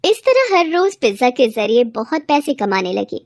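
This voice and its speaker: A woman's voice: on the high side, big pitch swings, no room sound. A woman reading a scripted moral story for children as a storyteller.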